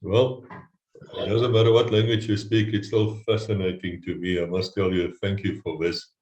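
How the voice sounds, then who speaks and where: Deep-voiced male host in a roomy space. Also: little pitch movement, normal speed, dull audio. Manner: earnest, warm praise of guest. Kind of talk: casual interview talk.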